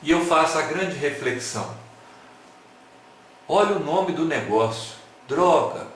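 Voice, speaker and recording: A man's voice: on the high side, a little slow, a little hiss or hum. A man giving a playful lecture, asking his listeners questions and joking with them. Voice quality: animated, playful, asks the audience questions. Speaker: lecturer at an online talk. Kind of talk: lively lecture with jokes and rhetorical questions. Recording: very echoey room.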